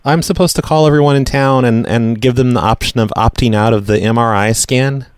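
A man making a casual prank phone call in a deadpan, hesitant manner, rambling and repeating himself as he goes. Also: medium pitch, fairly quick, clean tone, dry recording, studio quality. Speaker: prank caller, show host.

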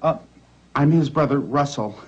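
Man acting a halting, eager superfan, dull sound. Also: medium pitch, husky, lightly acted. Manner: halting, ingratiating. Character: eager, rambling male fan promoting his brother. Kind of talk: comic film acting. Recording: dull film sound, faint noise.

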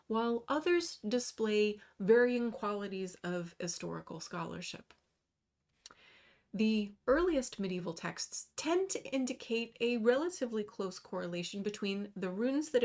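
A woman in her thirties reads a prepared lecture, slowing down to weigh each technical term. Her voice sits at an ordinary middle pitch. She speaks to a webcam from a small room at home. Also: a little mellow. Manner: measured, careful reading with slow emphasis on terms. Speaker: runology lecturer. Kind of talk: scripted lecture over slides. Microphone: webcam video in the corner.

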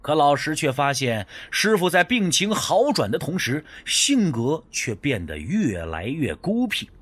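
Animated male audiobook narrator, fairly high-pitched. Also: unhurried, breathy edge. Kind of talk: scripted suspense story narration.

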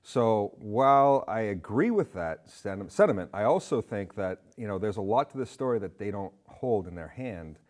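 Low-voiced middle-aged man rambling about film. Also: expressive, normal speed, hardly any pauses, darkish tone. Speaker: film discussion show panelist. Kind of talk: casual film chat. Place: indoor studio with curtains, seated.

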